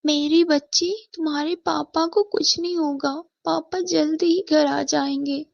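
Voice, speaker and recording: A woman's voice: sits high, steady tone, a little slow, gravelly, dry recording, dull audio, pitched above the performer's own voice. A woman voicing a devoted, determined poor wife and mother in a moral story, earnest and pleading. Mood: soothing. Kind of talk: animated moral-story voice acting.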